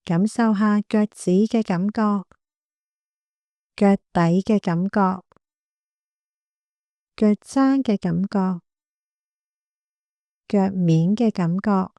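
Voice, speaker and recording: Female meditation guide, Hong Kong Cantonese accent. Gentle and calm, dark relaxed tone, very unhurried delivery. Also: mid-range voice, dry recording. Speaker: meditation guide, clinical psychologist. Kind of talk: guided body scan meditation.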